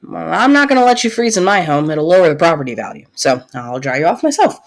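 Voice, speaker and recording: A young woman playing a caring, protective best friend secretly in love, in soft, intimate roleplay audio, with a husky, breathy voice and a teasing, reassuring way of talking. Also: lowish voice, sing-song, quick pace. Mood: gentle concern. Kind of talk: soft-spoken roleplay audio acting addressed to listener.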